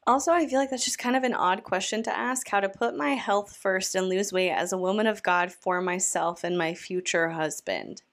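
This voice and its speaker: A woman's voice: medium pitch, moderate pace, hardly any pauses. A woman hosting a commentary podcast, reacting to a video with a snarky, incredulous manner. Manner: snarky, incredulous, talks back to the clip.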